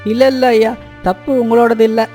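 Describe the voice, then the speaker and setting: Poor honest labourer for a cartoon fable, male actor: soft dark tone, flat melody, deferential, pleading. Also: very high voice, normal speed, faint music behind. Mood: sorrowful, humble. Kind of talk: Tamil cartoon moral story acting.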